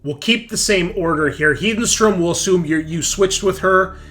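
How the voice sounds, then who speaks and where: A man's voice: sits high, normal speed, fairly bright tone. A man running a tabletop roleplaying game, narrating off the cuff in a casual, vividly descriptive way. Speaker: game master.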